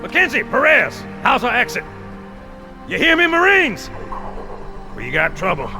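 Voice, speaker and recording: A man's voice: very high voice, wildly swooping pitch, measured pace, gravelly, music in the background, full character voice. A man playing a tough, brash marine sergeant in a video game, barking urgently in the thick of action. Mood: urgent, gruff.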